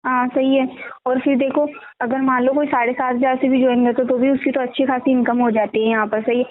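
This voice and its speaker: A woman explaining a sales pitch on a phone call, often asking for agreement. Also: little pitch movement, a little fast. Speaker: network marketing recruiter. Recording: tinny phone call recording.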